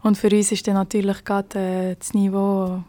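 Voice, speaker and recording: A woman's voice: medium pitch, monotone, normal speed, warm tone, dry recording. A young woman from Switzerland with a Swiss German accent, a police officer telling of her work in a podcast interview, hesitant and full of fillers.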